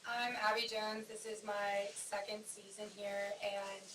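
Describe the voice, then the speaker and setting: A woman's voice: medium pitch, monotone, slow pace, fairly bright tone. A young woman from Pennsylvania, a lifeguard, introducing herself at a public meeting. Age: young adult. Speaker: ocean rescue lifeguard. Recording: distant echoey room, faint hiss.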